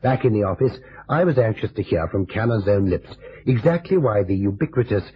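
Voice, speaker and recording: Muffled old radio, male chief narrating, husky, lively intonation, formal, wry, pompous. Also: on the low side, fairly quick. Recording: dull, band-limited vintage radio recording.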